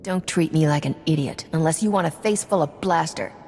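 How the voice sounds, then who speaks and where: Game lead female actor: hard smuggler captain, very low-pitched, curt, threatening, reverberant. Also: fairly quick, darkish tone, a little hiss or hum, natural voice. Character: ruthless, surly female smuggler starship captain. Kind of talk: video game character dialogue. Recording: reverberant hall sound under music.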